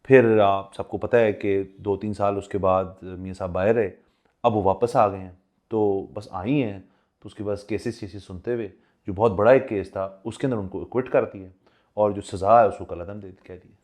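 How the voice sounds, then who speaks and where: A man's voice: lowish voice, fairly even intonation, moderate pace, darkish tone. A man with a Pakistani accent co-hosting a podcast, explaining the news in casual chat and often echoing his partner's phrases. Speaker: podcast co-host.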